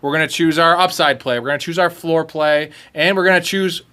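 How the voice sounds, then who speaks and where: A man's voice: on the high side, steady tone, fairly quick, bright, forceful tone. A man hosting a fantasy football podcast in a jokey, teasing manner. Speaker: podcast host. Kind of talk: casual sports chat.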